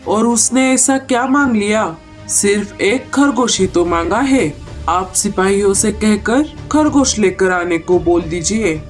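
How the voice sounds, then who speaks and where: A woman's voice: mid-range voice, unhurried, faint music behind, lightly acted. A woman voicing an indulgent mother bird queen in a cartoon story, coaxing and protective. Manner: coaxing, protective of her child. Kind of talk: Hindi cartoon story acting.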